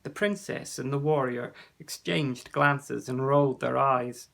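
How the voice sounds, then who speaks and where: Gravelly man reading a kids' story. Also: mid-range voice, steady tone, unhurried. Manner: gentle storytelling. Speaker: storybook narrator. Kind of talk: children's audiobook reading.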